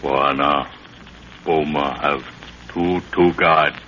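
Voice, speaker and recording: An actor playing a loyal African tribesman guide in an old radio adventure serial, speaking halting broken English in clipped phrases on a dull, hissy recording. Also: extremely low pitch, fairly even intonation, sharp tone, heavily acted. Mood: terse, watchful.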